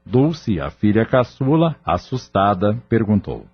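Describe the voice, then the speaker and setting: Male narrator for audio novel: lowish, husky, widely varied intonation, measured drama, muffled track with music. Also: very animated, no room sound. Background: clear background music.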